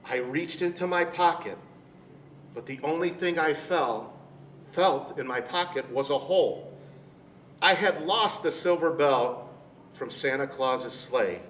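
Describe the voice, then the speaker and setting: Slow-reading male storyteller in an echoey room. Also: a little high, fairly bright tone. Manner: deliberate, dramatic, addressing children directly. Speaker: storyteller dressed as Santa Claus, reading to children. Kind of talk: picture-book read-aloud. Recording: echoey room, distant mic, faint noise.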